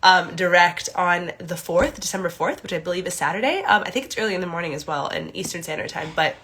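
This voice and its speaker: A woman's voice: lowish voice, fast talker, breathy edge. Congested young woman chatting on a livestream. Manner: chatty, many "like" and "um" fillers, greets viewers brightly. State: congested, stuffy nose. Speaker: astrology livestream host. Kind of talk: casual livestream chat. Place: small room indoors. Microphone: phone camera at arm's length.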